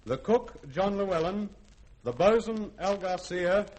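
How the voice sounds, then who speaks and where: High-pitched, animated man reading radio credits. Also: unhurried. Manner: formal, precise. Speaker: radio play producer. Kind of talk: scripted credits announcement. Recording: faintly noisy vintage radio recording.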